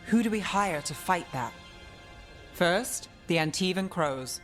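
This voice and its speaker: A woman playing a cool investigator in a video game, brisk and matter-of-fact. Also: lowish voice, animated, fairly bright tone. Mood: businesslike. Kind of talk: video game dialogue acting. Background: background music.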